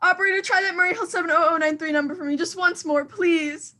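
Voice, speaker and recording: A woman's voice: extremely high pitch, fairly even intonation, quick pace, bright, forceful tone. A woman playing a panicking, demanding, self-pitying invalid wife in an old-time radio thriller, fretful and stammering. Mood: agitated.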